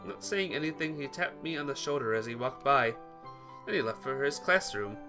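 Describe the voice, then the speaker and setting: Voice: medium pitch, animated, moderate pace, music in the background. A let's player reading a visual novel aloud as dramatic narration, occasionally stumbling and correcting the wording.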